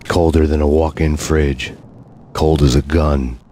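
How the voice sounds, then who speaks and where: Brooding hardboiled cop narrator, really low flat voice, grim noir revenge stuff, video game. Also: male voice, unhurried, a little hiss or hum. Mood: grim, determined. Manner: deadpan, grim. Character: brooding, vengeful hardboiled cop narrating his own story.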